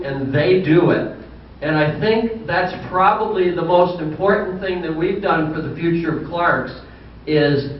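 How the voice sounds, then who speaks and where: A man's voice: a little high, slight background noise. A man in his sixties, a business owner giving a folksy banquet speech full of dry, humorous anecdotes. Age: 60s. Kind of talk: anecdotal after-dinner speech. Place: banquet hall. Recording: distant echoey hall, muffled.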